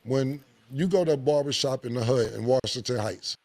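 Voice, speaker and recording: A man, hosting a podcast with blunt, animated opinions and a lot of swearing. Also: moderate pace, no echo. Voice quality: deep voice. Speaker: podcast host. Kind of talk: casual opinionated podcast talk.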